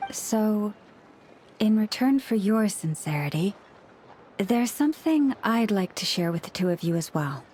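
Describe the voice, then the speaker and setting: A woman playing a gentle, solemn young antlered moon priestess in a video game, speaking in a formal, serene, measured way. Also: medium pitch, expressive, slight background noise, lightly acted. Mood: gentle, earnest. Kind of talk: scripted video game cutscene acting.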